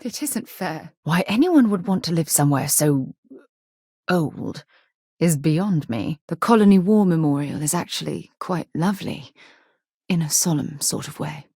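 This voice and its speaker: A woman voicing a principled, caring space explorer in a video game, with an articulate, formal and expressive delivery. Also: low voice, unhurried, breathy edge, no echo, studio quality, heavily acted. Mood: reflective, somber.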